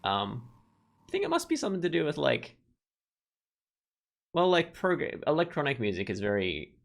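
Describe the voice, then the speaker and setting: A man's voice: on the high side, wildly swooping pitch, moderate pace, creaky. A man reading a visual novel aloud as a let's player, mixing narration with casual, rambling commentary. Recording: music behind the voice. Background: game music.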